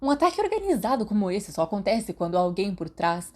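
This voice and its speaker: A woman with a Brazilian Portuguese accent voicing a bold young male wizard who scoffs forcefully. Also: mid-range voice, sing-song, fast, pitched above the performer's own voice. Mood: scornful.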